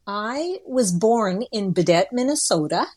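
A woman's voice: medium pitch, expressive, unhurried, darkish tone. A middle-aged or older woman from northern Ontario with a Canadian accent, chatting casually as an interview guest in a folksy, plain-spoken way.

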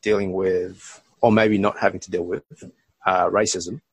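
Low gravelly man. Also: flat delivery, normal speed, dry recording, low-quality recording. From Bangladesh, raised in Australia. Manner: reflective, lots of "like" and "kind of". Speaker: podcast co-host. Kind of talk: casual chat.

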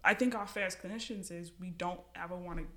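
A woman's voice: lowish voice, fairly even intonation, fast, pushed and bright. A woman from the Bahamas who works as a clinician, speaking in a thoughtful, conversational way on a podcast. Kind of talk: casual podcast discussion.

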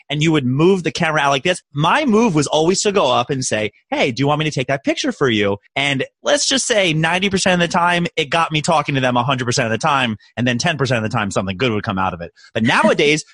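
Guy hosting a podcast, kinda high voice that bounces all over, cracking jokes, dry sound. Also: very animated, fast, fairly bright tone, husky, dry recording. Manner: joking, teasing. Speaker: podcast host. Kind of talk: casual podcast chat.

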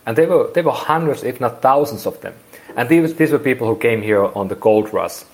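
A man's voice: mid-range voice, lively intonation, a little fast, darkish tone. A man in his forties from Finland with a Finnish accent, speaking English as a second language and rambling through an interview with many restarts. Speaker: guest. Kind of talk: casual interview.

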